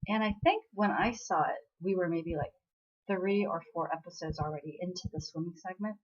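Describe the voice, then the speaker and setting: A woman's voice: lowish voice, moderate pace, slightly rough, lo-fi sound. An American woman who vlogs about dramas, chatting in a meandering way with lots of fillers. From United States, born in Korea. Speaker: drama vlogger. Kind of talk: casual rambling chat.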